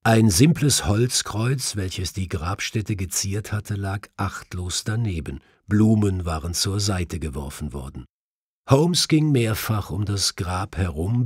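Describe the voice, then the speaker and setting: Husky, expressive male audiobook narrator. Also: on the low side, no room sound, studio quality. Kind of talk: dramatic scripted story narration.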